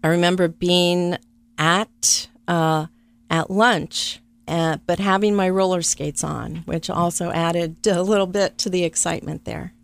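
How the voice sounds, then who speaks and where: A middle-aged woman answering interview questions about her life and work, speaking softly and thoughtfully with frequent pauses. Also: lowish voice, fairly even intonation, creaky, clean tone. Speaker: interview guest, travel business owner. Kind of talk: conversational interview.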